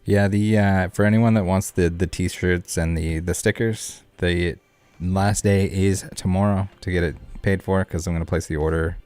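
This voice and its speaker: A man's voice: low-pitched, fairly even intonation, moderate pace, hardly any pauses, a little mellow, no echo. A man hosting a card game live stream, chatting casually with viewers in a rambling, joking, laid-back way. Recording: music playing behind the voice. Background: background music.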